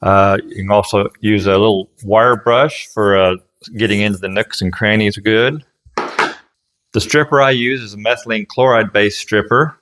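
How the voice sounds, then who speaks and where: A man's voice: fairly low pitch, fairly even intonation, a little slow, fairly bright tone. A man giving casual, plain-spoken how-to narration as a craftsman, explaining things step by step in a folksy way. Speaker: furniture restorer and instructor.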